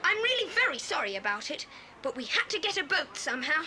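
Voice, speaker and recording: A woman's voice: high voice, very animated, fast. A woman playing a bold, bossy boy who leads an adventure in a children's audio drama. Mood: confident. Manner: decisive, commanding. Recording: faintly hissy old cassette tape.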